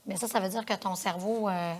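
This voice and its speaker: A woman's voice: mid-range voice, fairly even intonation, a little fast, a little hiss or hum. A woman with a Quebec French accent interviewing a guest as host of a TV show, in a conversational studio style.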